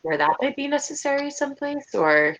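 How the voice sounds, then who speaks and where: A woman's voice: sits high, big pitch swings, brisk, a bit bright. A woman casually and hesitantly putting questions as a player in an online tabletop game. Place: online voice call.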